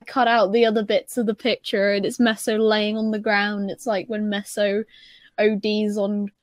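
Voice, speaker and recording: A woman of twenty chatting casually on a podcast in a rambling, halting way, often repeating herself. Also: mid-range voice, level tone, no room sound, studio quality. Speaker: podcast panelist.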